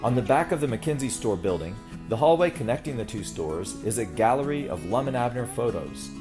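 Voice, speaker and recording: A man's voice: medium pitch, expressive, a little fast, over music. A man reading scripted narration as the host of a local history tour series.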